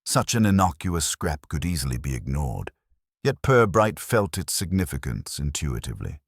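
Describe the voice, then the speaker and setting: Very deep male story narrator, dry recording. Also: sing-song, breathy edge, no room sound. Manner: measured, literary storytelling. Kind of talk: scripted detective story narration.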